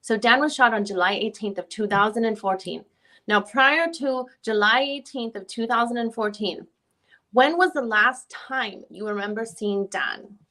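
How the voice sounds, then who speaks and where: A woman playing a female lawyer takes a witness through a deposition. Her voice is fairly high and sharply bright. She questions persistently and methodically, restarting and rewording as she goes. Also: normal speed. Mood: pressing, methodical. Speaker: reader playing a deposing attorney. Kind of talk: dramatic reading of a deposition transcript.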